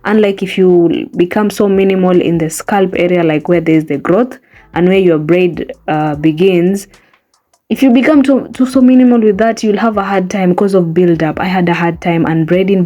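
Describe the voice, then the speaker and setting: A woman's voice: lowish voice, mellow. A young woman whose first language is Swahili, chatting casually to camera in a small bedroom about her hair routine, earnest and coaxing. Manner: chatty, earnest, coaxing the viewer with repeated tags. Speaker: hair care vlogger. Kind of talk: casual how-to chat to camera.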